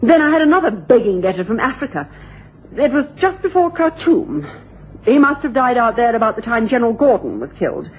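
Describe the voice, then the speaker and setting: A woman's voice: a little high, very animated, brisk. A stubborn, embittered middle-aged sister in a radio play. Her delivery is emphatic and rises and falls a lot. It is a muffled broadcast with a faint hiss. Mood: dismissive, reluctant. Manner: emphatic, sighing, indignant. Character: bitter, stubborn middle-aged sister guarding family fortune. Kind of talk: 1980s radio drama acting. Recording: dull old radio broadcast, faint noise.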